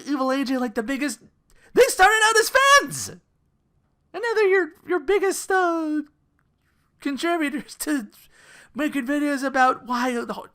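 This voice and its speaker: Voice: medium pitch, sharp tone. A podcast host rambling casually in a sardonic, profane manner, often acting out quotes as part of the commentary. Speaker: podcast host and content creator.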